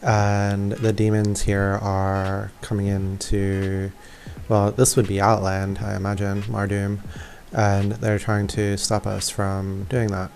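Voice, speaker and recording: Male gaming commentator: lowish, creaky voice, informal and hesitant, thinking aloud. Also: completely flat delivery, slow, darkish tone. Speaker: gaming video creator. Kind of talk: casual gameplay walkthrough commentary. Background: faint game music.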